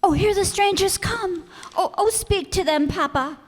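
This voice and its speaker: An actress playing a curious, innocent, excitable young daughter in a radio play, full of eager exclamations. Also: female voice, extremely high pitch, moderate pace. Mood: excited. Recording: echoey hall stage recording.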